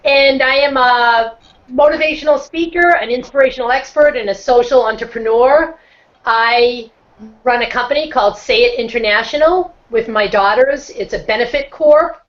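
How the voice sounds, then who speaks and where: A woman's voice: a little high, normal speed. A middle-aged woman from Boston with a Boston accent, talking at length and earnestly as a guest speaker on a video call. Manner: talkative, earnest, self-described talker. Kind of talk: casual online chat. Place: home setup on a video call. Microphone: computer microphone on a video call. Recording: dull lo-fi video-call audio.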